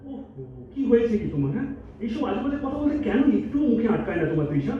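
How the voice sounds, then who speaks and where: A man's voice: extremely high pitch, sing-song, fast talker. A man playing an exasperated, defensive boyfriend in a melodramatic stage audio drama. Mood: indignant. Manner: pleading, defensive, indignant. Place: multipurpose hall stage. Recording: distant echoey hall, thin phone-like, faint noise.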